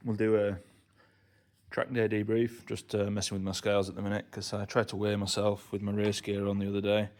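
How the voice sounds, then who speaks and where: Bloke doing a laid back vlog debrief, lowish gravelly voice, kinda hesitant. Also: male voice, completely flat delivery, brisk, a little mellow. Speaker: vlogger, amateur racing driver. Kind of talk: casual video debrief.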